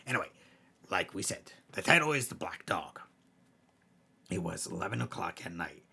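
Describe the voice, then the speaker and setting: Deep, gravelly man reading scary stories. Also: bright, forceful tone. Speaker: storyteller, host. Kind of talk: dramatic scary bedtime story narration.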